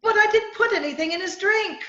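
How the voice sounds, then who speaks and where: A woman's voice: very high voice, brisk, sharp tone. Echoey muffled recording, woman playing headstrong girl reporter, theatrical, breathless, radio comedy-mystery. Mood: panicked. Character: excitable young female reporter, melodramatic and headstrong. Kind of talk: old-time radio comedy-mystery acting. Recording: echoey, muffled home video-call recording.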